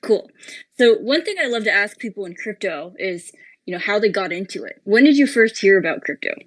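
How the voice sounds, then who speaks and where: Woman host, mid-pitched, easygoing questioning with frequent laughs, live audio interview. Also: expressive, brisk.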